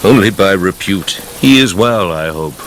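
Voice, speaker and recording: A man acting a cool, vengeful aristocratic count in a radio drama, speaking formally and with measured politeness. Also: deep voice, lively intonation, a bit bright, slight background noise, rough recording. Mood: courteous, probing.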